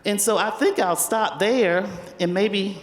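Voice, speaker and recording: A woman's voice: medium pitch, a little slow. A woman with a South Carolina accent, speaking as a panelist on stage and telling folksy, humorous stories about her community work. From Spartanburg, South Carolina. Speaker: panelist, community foundation leader. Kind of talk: panel talk with personal storytelling. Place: large event hall on stage. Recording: echoey hall with long reverb.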